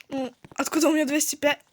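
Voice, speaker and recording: A hoarse woman chatting casually as she plays a video game for her channel, exclaiming when things go wrong. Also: sits high, a bit bright, slight background noise. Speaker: gaming channel host.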